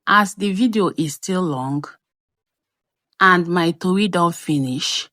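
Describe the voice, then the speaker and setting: A young adult woman with a Nigerian accent tells a personal story in a conversational, expressive way, as a craft vlogger's voice-over. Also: fairly low pitch, slow pace, dry recording, studio quality.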